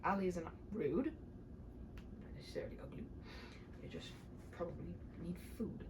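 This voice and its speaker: Animated woman reading a story aloud, background noise. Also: lowish voice, unhurried. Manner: animated, chatty when stepping out of the text. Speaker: audiobook reader and host of the channel. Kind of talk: expressive storybook read-aloud with casual asides.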